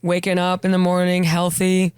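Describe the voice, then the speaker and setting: A podcast host interviewing a guest in casual chat, agreeable and quick to say yeah, sometimes acting out quotes. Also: male voice, high-pitched, monotone, clean tone, dry recording. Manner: agreeable, says yeah a lot, acts out quotes.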